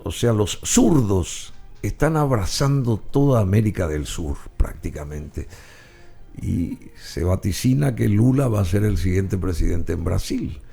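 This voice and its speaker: A man's voice: lowish voice, animated, measured pace, vocal fry, warm tone, breathy edge, faint music behind. A man hosting an interview, asking casual questions and giving short affirmations. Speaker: interview host.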